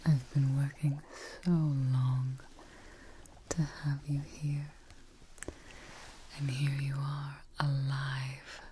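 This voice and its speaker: Young woman as tired devoted female scientist, breathy whisper, soft dark tone, very slow, ASMR roleplay, faint background noise. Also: rumbling low, level tone, exaggerated voice. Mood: tender, awed. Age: young adult. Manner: slow, breathy, gentle, pausing. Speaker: ASMR performer. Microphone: close ASMR microphone.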